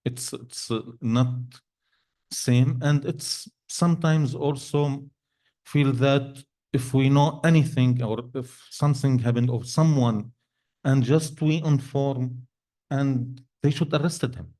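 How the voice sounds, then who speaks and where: Panel speaker, male, non-native English, halting and searching for words, dark mellow tone. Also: mid-range voice, fairly even intonation. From Syria. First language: Arabic. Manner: halting, many restarts and fillers, non-native grammar. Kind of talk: panel discussion answer. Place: event hall.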